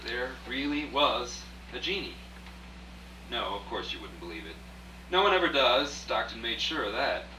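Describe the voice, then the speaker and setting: In an echoey room, an actor plays a wry male writer telling his tale in a radio play. The voice is sharp and very forceful, confiding yet theatrical, and sits a little far from the mic. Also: medium pitch. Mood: reflective, dramatic, then wry, resigned. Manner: confiding, rhetorical, addressing the listener directly. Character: wry out-of-work male writer turned rich storyteller. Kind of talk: live radio play, first-person dramatic narration. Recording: echoey distant live rehearsal, faint hum.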